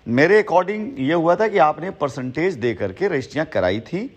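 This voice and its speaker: A man's voice: on the high side, expressive, normal speed. A man speaking assertively and at length on a recorded phone call, repeating himself for emphasis. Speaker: company head on a phone call with a former associate. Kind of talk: phone conversation in Hindi mixed with English words.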